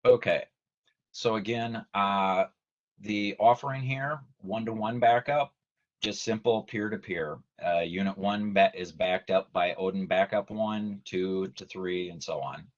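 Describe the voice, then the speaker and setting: A man giving a measured, methodical technical explanation as a trainer in an online webinar. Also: fairly low pitch. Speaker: technical trainer, company presenter. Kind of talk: explanatory webinar presentation, semi-scripted. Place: online video meeting. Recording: dull lo-fi video call audio.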